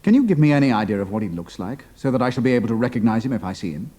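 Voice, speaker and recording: An actor playing a young British flying officer working undercover, brisk and plucky, with a British accent, in an audio drama. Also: male voice, mid-range voice, very animated, dark tone, dry recording. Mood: brisk, dutiful.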